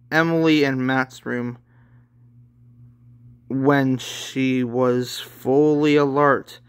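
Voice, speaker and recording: A man reading his own story aloud in a halting way, with informal asides. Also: mid-range voice, a bit bright, smooth. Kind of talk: read-along story narration with asides.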